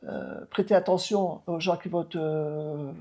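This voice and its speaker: A woman's voice: low voice, steady tone, measured pace, dark tone. A woman in her seventies, an outgoing mayor, answering interview questions emphatically with many hesitations and restarts. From France. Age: 70s. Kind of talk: local TV interview.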